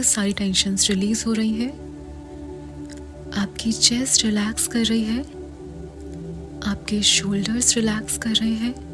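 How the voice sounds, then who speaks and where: A woman with an Indian accent leads a guided meditation in a soft, soothing, instructional way, often slipping toward a breathy whisper. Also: fairly high pitch. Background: background music.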